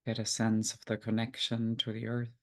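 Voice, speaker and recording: A man guiding a meditation, giving gentle, unhurried instructions with long pauses. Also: on the low side, completely flat delivery. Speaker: meditation guide. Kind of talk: guided meditation.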